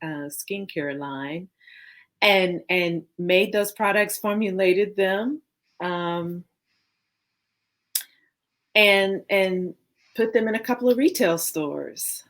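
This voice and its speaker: A woman co-hosting a conversation, introducing herself in a reflective, measured way with pauses between phrases. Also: medium pitch, a bit bright. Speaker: co-host, theology scholar.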